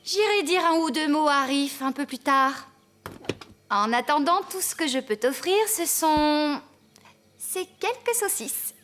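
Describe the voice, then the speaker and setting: A woman playing a kindly, capable farmer, gently in charge, in a children's cartoon. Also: high-pitched, lively intonation, measured pace, faint music behind.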